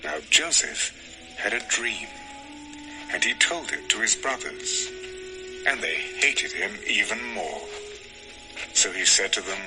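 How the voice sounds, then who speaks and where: Guy reading bible story narration, deepish n solemn, lots of inflection, music behind. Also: expressive, slow, long pauses, low-quality recording. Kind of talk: scripted dramatized Bible narration.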